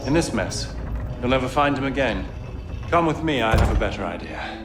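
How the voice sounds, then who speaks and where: An actor playing a wry, cynical French army officer in a video game, with a dry, measured delivery. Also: male voice, medium pitch, very animated, natural voice. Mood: urgent, wry. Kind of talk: video game cinematic acting. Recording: background music under the voice.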